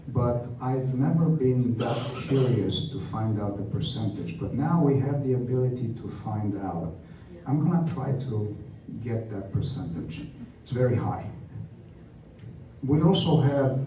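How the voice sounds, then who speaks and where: A man's voice: mid-range voice, mellow, a little hiss or hum. A man with a Romanian accent, an airport representative answering questions at a community meeting in a friendly, talkative, self-deprecating way. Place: church hall meeting room. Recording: echoey hall, speaker far from mic.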